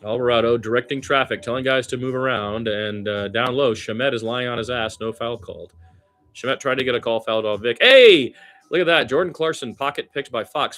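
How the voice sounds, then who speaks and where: Casting: male basketball play-by-play commentator, average pitch, informal and partisan, excitable when the action peaks. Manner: candid, colloquial, excitable on big plays.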